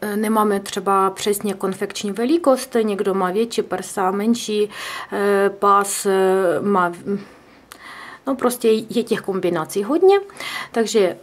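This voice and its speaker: A woman teaching crochet, pausing often with filler sounds, speaking Russian with a Czech accent. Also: mid-range voice. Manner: hesitant, many filler sounds. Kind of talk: tutorial explanation. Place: indoors at a table.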